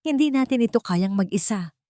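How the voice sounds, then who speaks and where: A woman's voice: a little high, animated, brisk, mellow, no echo, studio quality, voice pushed up, natural voice. A woman voicing a resolute, protective young daughter in a narrated drama, speaking earnestly and with emphasis. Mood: urgent. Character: determined, protective young daughter fighting for justice.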